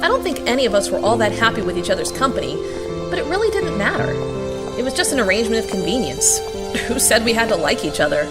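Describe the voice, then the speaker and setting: A woman's voice: a little high, big pitch swings, fast, loud music behind the voice. A woman playing a feisty, sarcastic young heroine who also narrates, in an audio drama. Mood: wry narration. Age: young adult. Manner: snappy, sardonic. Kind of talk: audio drama acting and first-person narration.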